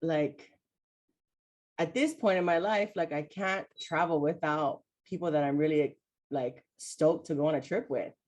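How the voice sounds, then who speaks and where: Low-voiced woman, reflective chat. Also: unhurried. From Hawaii. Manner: warm, reflective, with many "like" and "you know" fillers. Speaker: host, mental health coach. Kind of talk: casual chat between friends.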